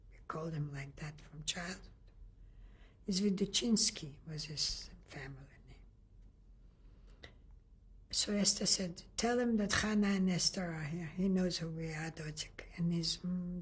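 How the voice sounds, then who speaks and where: An older woman from Lithuania giving an oral history testimony in a halting way, often restarting and searching for words. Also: fairly low pitch, husky. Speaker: interviewee.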